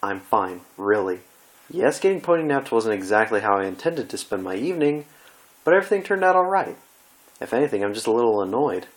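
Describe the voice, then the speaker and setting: A man's voice: on the low side, very animated, a little fast. This is a bookish young female pony from a cartoon fan story, played by a male reader. The delivery is reassuring and matter-of-fact. A mild impatience sits under the patient manner. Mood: patient, mildly annoyed. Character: studious young female pony, patient but mildly annoyed.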